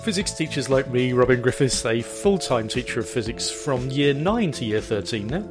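A man in his fifties co-hosting a podcast, chatting enthusiastically with quick wit. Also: mid-range voice, animated, over music. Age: 50s. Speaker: podcast co-host and physics teacher. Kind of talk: casual chat. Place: garden summer house.

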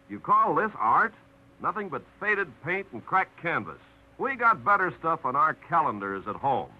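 Lively polished vintage radio announcer, a man. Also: medium pitch. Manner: polished, lively storytelling delivery. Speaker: announcer host. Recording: muffled 1950s radio broadcast.

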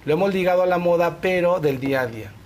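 Male, Mexican accent, higher-than-average pitch, chatty interview guest, explanatory, tag questions, faint background noise. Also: fast talker, darkish tone. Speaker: guest, fashion film festival organizer. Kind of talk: casual interview chat.

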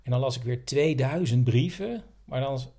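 A man's voice: medium pitch, normal speed, creaky, mellow, slightly rough. A man co-hosting a podcast, telling stories and explaining things in a casual, conversational way. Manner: explanatory, anecdotal. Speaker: podcast co-host.

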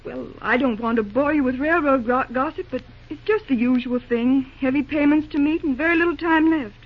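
A dull, band-limited old radio recording of a woman playing an earnest young secretary. Her voice is high and girlish, and she speaks with eager courtesy, often stumbling politely over her words in radio-play fashion. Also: brisk, natural voice. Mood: polite, hesitant. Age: young adult. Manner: hesitant, stammering, eagerly courteous. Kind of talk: old-time radio drama acting.

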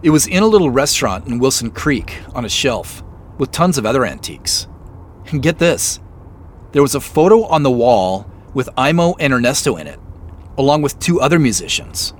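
A man plays an inquisitive amateur sleuth in an audio drama, easygoing and a little sheepish. His mid-pitched delivery swings up and down in lively fashion, with hesitant, conversational understatement. Faint vehicle noise runs behind him. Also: moderate pace, lightly acted. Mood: quietly excited. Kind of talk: naturalistic audio drama dialogue.

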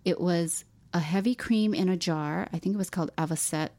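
A woman's voice: lowish voice, fairly quick, dark tone. A woman from Hawaii in casual conversation, fondly recalling family holiday food and explaining local identity. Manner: reminiscing, with frequent restarts and "like". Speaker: podcast co-host. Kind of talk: casual chat.

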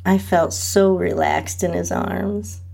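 Female narrator for erotic audio fiction: lower register, husky, slow delivery. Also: fairly even intonation, mellow. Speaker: podcast host and narrator. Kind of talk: first-person erotic audio story narration.

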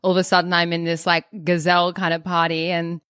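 A woman co-hosting a podcast, telling a personal story in a soft-spoken way. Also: lowish voice, completely flat delivery, fast, smooth, dry recording, clean studio sound. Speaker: podcast co-host.

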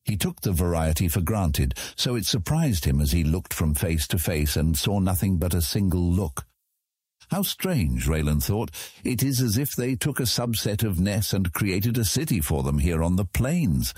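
A man narrating a fantasy audiobook in a measured, dramatic storytelling manner. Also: low-pitched, very animated, darkish tone, husky, no echo, clean studio sound.

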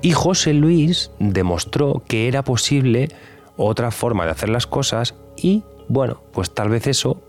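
A man playing an earnest Civil Guard officer giving measured, reflective testimony in a podcast drama. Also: medium pitch, lively intonation, faint music behind. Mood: convinced, sombre. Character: earnest male Civil Guard officer chasing cold case.

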